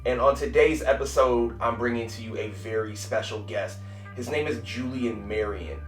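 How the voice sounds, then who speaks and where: A man's voice: medium pitch, normal speed, distant mic, faint music behind. Upbeat male podcast host in an echoey room. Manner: upbeat, says "man" often. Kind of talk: casual interview chat.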